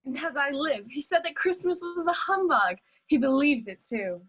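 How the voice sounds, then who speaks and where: A woman's voice: high-pitched, expressive, normal speed, fairly bright tone. A young woman acting a cheerful, forgiving relative of a miser in a radio play. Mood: good-humored, forgiving.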